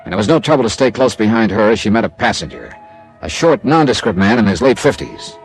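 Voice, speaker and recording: A man's voice: lowish voice, sing-song, fast, slightly rough, faint music behind, full character voice. An actor playing a wry, streetwise American male investigator narrating his case in a clipped, hard-boiled radio drama style. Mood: wry, intent. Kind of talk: classic radio detective drama, first-person narration.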